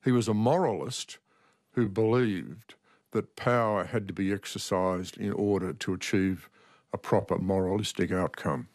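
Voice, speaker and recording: A man gives measured interview commentary in a fairly low voice. He is deliberate and emphatic, often restating a phrase to stress it. The sound is very dry, with no room on it. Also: fairly even intonation, darkish tone, no echo. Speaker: interviewed author and political commentator.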